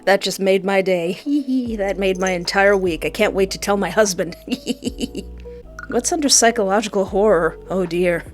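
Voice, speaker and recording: A woman giving excitable, casual commentary as she plays a visual novel, stammering when excited, with game music behind her. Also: medium pitch, unhurried.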